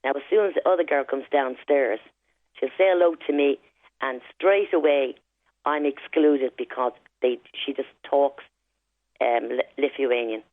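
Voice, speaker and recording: A woman's voice: a bass voice, unhurried, no room sound. A middle-aged Irish woman calling a radio show, talking emphatically and often tagging "right?" and "you know". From Ireland. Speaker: caller. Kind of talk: phone-in call. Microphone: telephone line. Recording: tinny phone line.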